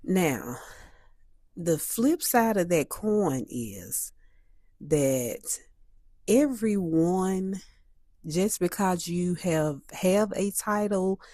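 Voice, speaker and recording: Woman, low pitch, slow pace, stuffy from a cold, measured and repetitive, dry close sound. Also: animated, mellow, no room sound. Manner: measured, repeats and restates points, many fillers. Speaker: host. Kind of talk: solo commentary talk.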